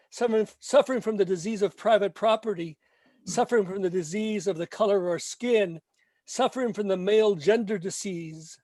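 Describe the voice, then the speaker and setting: Guy with a high voice reading a poem, slow chanting repeats, dry close sound. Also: darkish tone, no echo. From Mexico. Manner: slow chanting repetition, emphatic. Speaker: poet. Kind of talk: poetry reading.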